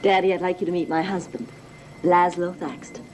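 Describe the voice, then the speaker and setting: An actress playing an anxious, eager wife and devoted daughter in a gothic soap, speaking emotionally and haltingly. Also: female voice, fairly low pitch, fairly even intonation, a little mellow, natural voice, poor audio. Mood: bright. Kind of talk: 1960s-70s gothic TV soap acting. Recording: old TV soundtrack with music underneath.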